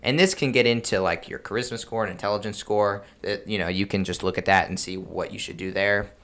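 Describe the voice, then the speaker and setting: A man with a fairly low voice hosts a podcast. He explains in a casual, conversational style, leaning on filler words and breaking off to rephrase himself. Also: a little fast, fairly bright tone. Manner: informal, frequent filler words and self-interruption. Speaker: podcast host giving game master advice.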